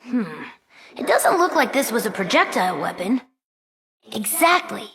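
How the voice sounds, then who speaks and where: A woman's voice: a little high, very animated, measured pace, fairly bright tone, exaggerated voice. A woman voicing an earnest, brainy young fox boy sidekick in a video game, with a youthful, articulate way of talking. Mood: focused, curious. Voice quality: youthful, boyish. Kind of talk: video game character voice lines.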